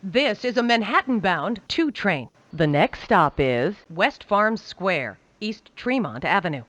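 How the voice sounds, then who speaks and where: Woman train announcer, lively pitch swings. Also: dry recording. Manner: measured, formulaic announcer delivery. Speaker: transit announcer.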